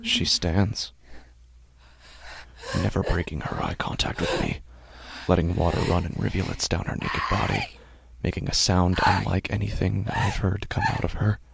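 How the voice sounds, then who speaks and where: A man narrating a first-person horror story in a measured, tense way. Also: low-pitched, flat delivery, vocal fry, slight background noise.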